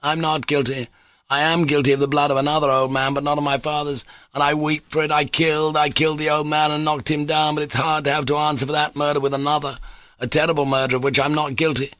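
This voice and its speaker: A man voicing Mitya, a desperate young man accused of murder, shouting his innocence in anguish. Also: fairly high pitch, level tone, fast, dry recording, voice pushed up, natural voice.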